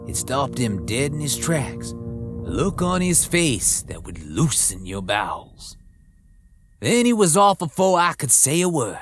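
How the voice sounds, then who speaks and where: A man narrating a western horror story in the first person, in folksy frontier talk full of crude slang, over background music. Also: fairly high pitch. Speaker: audiobook narrator.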